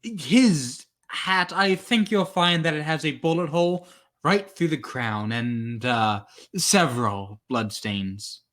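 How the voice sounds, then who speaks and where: A cool professional killer in an old-time radio thriller, acted by a man, speaking in a fairly high male voice at a very slow pace. He is formal and measured, understating every threat with careful politeness. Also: lively intonation, a bit bright. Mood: cool, matter-of-fact.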